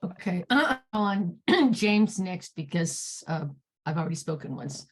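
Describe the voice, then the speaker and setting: A woman moderating an online panel who is losing her voice, halting and clearing her throat as she speaks. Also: on the low side, animated.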